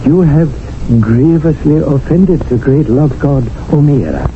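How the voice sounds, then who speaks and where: A man's voice: a little high, animated, measured pace, noisy background. An actor playing a wry, scheming male household servant who narrates in an ornate, theatrical 1970s radio drama style. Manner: sly, ornate, theatrical delivery. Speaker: narrator and manservant. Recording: tinny old radio broadcast with music.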